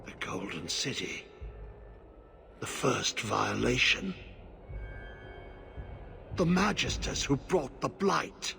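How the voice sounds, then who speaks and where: An actor playing a grim, haunted old former commander in a video game, speaking in solemn, clipped fragments. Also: male voice, mid-range voice, big pitch swings, slow pace, creaky, pauses often, pushed and bright, faint music behind, exaggerated voice. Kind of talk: dramatic video game acting.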